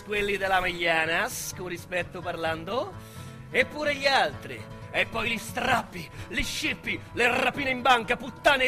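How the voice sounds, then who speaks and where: An actor with a Roman accent playing a young, boastful, foul-mouthed street criminal in a radio drama monologue, with coarse slang and a swaggering delivery. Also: male voice, extremely high pitch, sharp tone, full character voice. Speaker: narrator and lead character.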